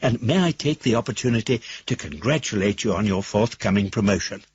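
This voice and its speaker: A man's voice: on the low side, expressive, brisk, breathy edge, dry recording. An actor playing a self-satisfied wealthy businessman in a radio drama, reading out a letter with false cordiality. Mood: gloating, cordial. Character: smooth-talking, gloating wealthy businessman writing to a rival. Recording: dull, muffled radio recording.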